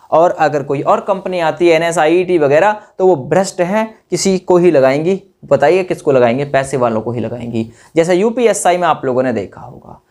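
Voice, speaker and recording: A man's voice: fairly high pitch, darkish tone. A man presenting an exam update to students informally, addressing listeners directly, repeating phrases and adding tag questions.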